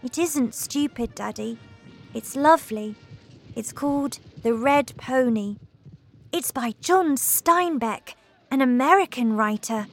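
A woman voicing a bright, polite little girl who loves books. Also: high voice, slow pace, faint music behind, voice pushed up. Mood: cheerful. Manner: polite, eager, chirpy.

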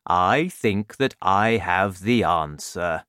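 A man voicing a big, gentle, friendly giant in a storybook reading, speaking slowly and humbly. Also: deep voice, expressive, clean tone, no room sound, clean studio sound, voice pushed down, exaggerated voice.

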